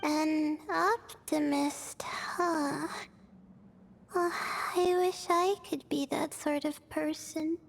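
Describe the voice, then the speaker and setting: A woman playing a timid, self-doubting young female student in a video game, gentle and modest, with a hesitant, soft-spoken delivery. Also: high-pitched, steady tone, very slow, creaky, a little hiss or hum, heavily acted. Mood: hesitant, pensive.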